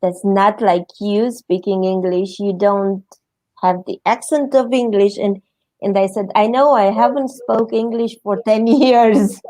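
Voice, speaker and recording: A middle-aged woman from Romania with a Romanian accent, answering interview questions haltingly as she searches for words in English. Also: medium pitch, fairly even intonation, mellow, dry recording, rough recording. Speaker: interview guest.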